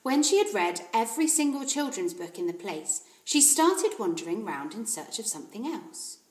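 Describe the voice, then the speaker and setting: Female reader, schoolteacher type: expressive storybook narration, animated intonation, roomy sound. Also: mid-range voice, moderate pace. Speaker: teacher reading aloud. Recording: roomy echo.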